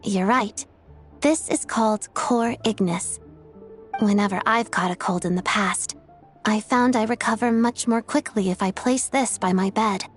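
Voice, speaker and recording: A woman's voice: medium pitch, measured pace, faint music behind, natural voice. A woman playing a poised, kindly young woman in a video game, speaking in a composed, polite way.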